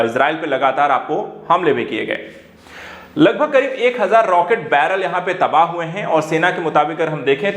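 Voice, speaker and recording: A man's voice: on the high side, fairly bright tone. A man giving an explanatory current-affairs lecture as a teacher, in an emphatic, repetitive lecturing manner. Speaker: teacher at an exam coaching academy. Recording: echoey room.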